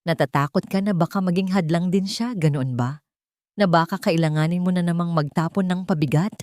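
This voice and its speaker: A woman's voice: lowish voice, a little fast, darkish tone, no room sound, studio quality, close to an everyday voice. Woman narrator as nagging, bitter rich wife. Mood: bitter taunting. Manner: irritated, goading, mixes English into Tagalog. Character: cold, nagging wealthy wife, bitter and taunting.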